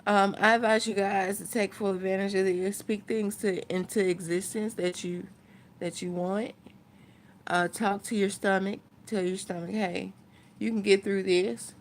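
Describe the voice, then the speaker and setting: A woman's voice: medium pitch, fairly even intonation, measured pace, slight background noise. A woman chatting casually to her viewers as a vlogger, thinking aloud in a rambling way.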